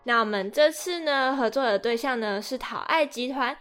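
A woman hosting a podcast, animated and self-deprecating, moving between scripted introduction and casual chat. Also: fairly high pitch, moderate pace, faint music behind. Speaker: podcast host.

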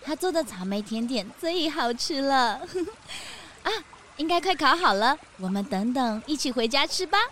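A woman with a Taiwanese Mandarin accent voicing a gracious, welcoming female sika deer in a children's audio drama, speaking in a gentle, hospitable manner. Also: high-pitched, expressive, normal speed, slight background noise.

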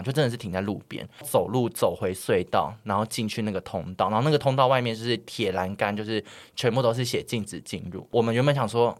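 Fast-talking Taiwanese Mandarin man, rambling storyteller. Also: mid-range voice. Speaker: podcast co-host. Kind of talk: casual chat and anecdote.

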